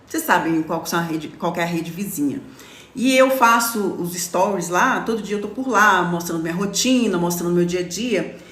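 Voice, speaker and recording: A woman in her forties from Brazil talks on a live stream as a lawyer explaining workplace rights. Her speech is casual and explanatory, with frequent check-ins to the viewers. The voice sits in a middle pitch and carries a noticeable echo from the room. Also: a bit bright. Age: 40s. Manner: explanatory, stops often to read comments, says "tá?". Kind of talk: live-stream talk to followers.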